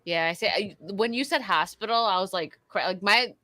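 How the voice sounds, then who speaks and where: A woman's voice: mid-range voice, brisk, bright, forceful tone, no echo. A woman with a Buffalo, New York accent chatting casually as co-host of a livestream. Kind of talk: casual chat.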